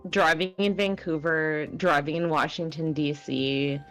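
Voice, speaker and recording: A Canadian-raised woman in her thirties chats casually as co-host, her fairly low voice emphatic and full of restarts. Soft game music plays faintly underneath her streamed desk-mic talk. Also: steady tone, measured pace. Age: 30s. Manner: chatty, emphatic, frequent likes and restarts. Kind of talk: casual chat while gaming.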